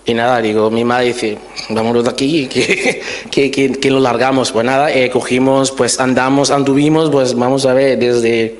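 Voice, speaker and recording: Halting man from Liberia in reverberant hall. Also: mid-range voice, fairly even intonation. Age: 40s. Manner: halting and informal, many restarts and fillers. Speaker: guest giving testimony. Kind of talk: interview. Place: church hall. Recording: long reverb of a church hall.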